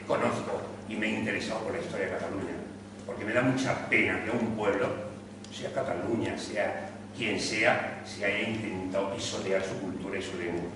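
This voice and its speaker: Older guy from córdoba giving a fired-up talk at a community meeting, far off mic, lots of room echo and background noise. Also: deep voice. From Spain (Córdoba). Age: 60s. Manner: rhetorical questions, emphatic and earnest. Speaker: speaker at a public talk. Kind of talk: impassioned public speech. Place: neighborhood meeting hall. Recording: echoey hall, distant mic, background noise.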